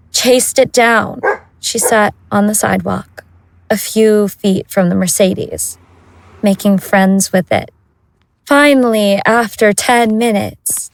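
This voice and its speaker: A woman's voice: mid-range voice, no echo, poor audio. A woman narrating a scripted audio drama as its storyteller.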